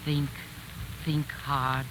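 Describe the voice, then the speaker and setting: A solemn living waxwork, sends out commands very slowly in a measured, trance-like voice. The 1940s radio horror recording has clear background noise. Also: male voice, mid-range voice, level tone. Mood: solemn, commanding. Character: solemn living wax murderer Magda, projecting commanding thoughts. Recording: noisy 1940s radio broadcast.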